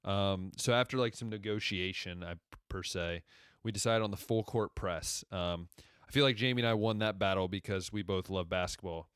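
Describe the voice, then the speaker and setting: Deep-voiced young man, smooth and dry. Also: normal speed, fairly bright tone, no room sound. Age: 20s. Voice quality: deep radio-style voice. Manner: rambling and conversational, backtracking often. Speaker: podcast host. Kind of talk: casual solo podcast monologue.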